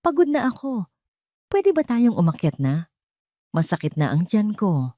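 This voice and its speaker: A woman's voice: medium pitch, sing-song, unhurried, warm tone, no echo, clean studio sound, pitched above the performer's own voice. A Filipino woman voicing a timid, heartbroken pregnant wife in an audio drama, pleading and trembling. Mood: weary pleading.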